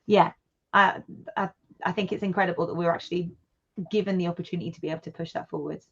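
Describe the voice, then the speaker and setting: A woman's voice: lowish voice, fairly even intonation, quick pace, fairly bright tone, breathy edge, muffled recording. A woman from the United Kingdom, a studio co-founder, talking in an enthusiastic, chatty way as a guest in an interview. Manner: enthusiastic, chatty, with many fillers. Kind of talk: casual interview chat.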